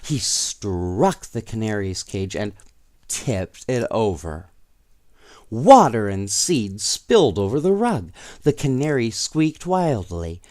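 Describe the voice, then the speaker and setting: A man reading children's stories aloud in an expressive, playful way, with casual asides to young listeners. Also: fairly low pitch, slow pace, no echo, studio quality. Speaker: storyteller.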